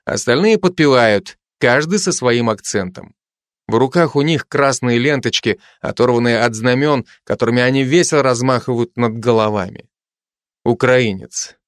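A man reading the stage directions of a screenplay aloud in a plain, even manner. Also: medium pitch, normal speed, no room sound, studio quality. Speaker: narrator.

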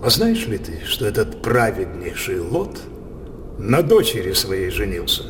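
An actor playing a brooding older king obsessed with his daughter, declaiming theatrical verse in a halting, impassioned manner for a radio play. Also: deep voice, big pitch swings, background noise. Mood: insinuating, persuasive.

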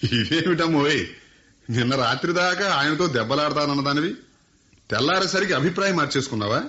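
An actor playing a questioning young adult son in a radio play, talking in casual urban speech sprinkled with English. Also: male voice, fairly high pitch, expressive, fairly quick, bright, forceful tone. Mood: puzzled. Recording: dull lo-fi radio recording.

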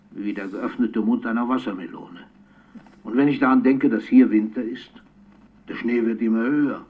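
An actor playing an elderly, melancholic poet who records a tender letter on tape for a radio play, savouring his words with pauses. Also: mid-range voice. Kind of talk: radio drama monologue as spoken letter. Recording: thin, tinny phone-like tape sound.

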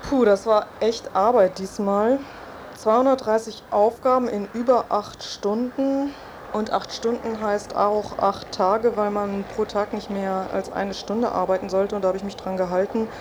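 A woman's voice: medium pitch, steady tone, measured pace, close to an everyday voice. A woman playing a plain-spoken test subject who reports back in a radio feature. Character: plain-spoken female test guinea pig reporting results. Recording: noisy ambience behind the voice.

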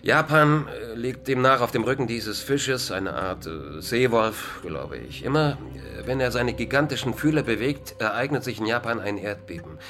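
An actor playing a resigned, pessimistic philosopher in a radio drama interview, lecturing in a ponderous intellectual manner. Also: male voice, medium pitch, expressive, moderate pace, few pauses, bright, forceful tone. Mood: musing. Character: gloomy, resigned male philosopher and culture critic.

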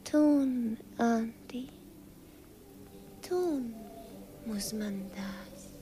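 An actress playing a young Hungarian village girl, tender and dreamy, in a hushed, soft voice, in an old radio recording. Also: on the high side, drawn-out words. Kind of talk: 1950s German radio drama acting. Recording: faint hiss of an old 1951 radio recording.

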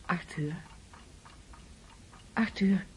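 A woman playing an anxious, hospitable wife and mother in a Dutch radio play, expressive and coaxing. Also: fairly low pitch, extremely slow, slight background noise. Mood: anxious, hushed.

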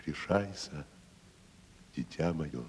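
Wandering tragedian: magnanimous, slow, ringing stage declamation, low-pitched, long reverb and music behind. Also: male voice, a little hiss or hum. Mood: tender, solemn. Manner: declamatory, pompous, swelling and hushed. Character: grandiloquent, magnanimous wandering male tragedian actor. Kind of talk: theatrical Russian radio stage acting. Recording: 1970s radio play, reverberant, music behind.

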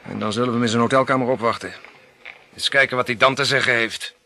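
A man acting in an old radio play, speaking in a measured, suspicious, commanding way. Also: mid-range voice, fairly even intonation, pushed and bright, slight background noise. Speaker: radio drama actor.

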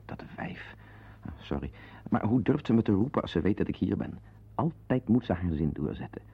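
Radio drama husband: bitter, neurotic, sardonic confider with swooping intonation, muffled lo-fi tape sound. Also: male voice, fairly low pitch, sing-song, moderate pace, husky, full character voice. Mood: irritated, confiding. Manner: digressive, sardonic, prone to outbursts. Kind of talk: radio play monologue and dialogue acting.

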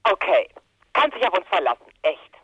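An eager female friend in a radio crime drama, heard through a muffled telephone filter. Her pitch swings in a very animated way as she chats informally, like a conspirator. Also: normal speed, breathy edge, no echo, heavily acted. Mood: agreeable, loyal. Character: eager, chatty female friend playing amateur detective. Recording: dull, band-limited telephone sound.

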